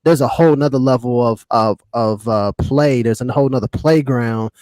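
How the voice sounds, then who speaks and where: A man's voice: medium pitch, normal speed, darkish tone, dry recording. A man co-hosting a podcast, chatting casually in a slangy, colloquial way and jumping in with interjections. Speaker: podcast co-host. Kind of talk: casual panel chat.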